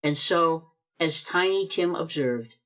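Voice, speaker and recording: A narrator reading a classic holiday tale aloud in a measured, expressive way for an amateur radio play. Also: mid-range voice. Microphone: video call.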